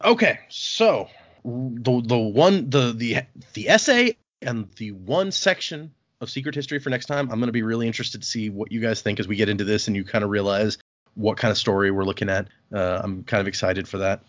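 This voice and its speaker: Male podcast host, mid-pitched, loose and rambling, recorded dull and dry. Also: fairly quick, fairly bright tone, dry recording. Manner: rambling, restarts phrases, laughs often. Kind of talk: casual chat. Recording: dull, muffled podcast audio.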